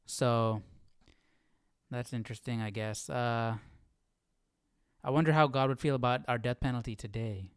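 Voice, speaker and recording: A man hosting an informal livestream Bible study, reading aloud and thinking out loud in a musing, hesitant, self-questioning way. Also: mid-range voice, fairly even intonation, normal speed, pauses often, clear, resonant tone, dry recording, clean studio sound.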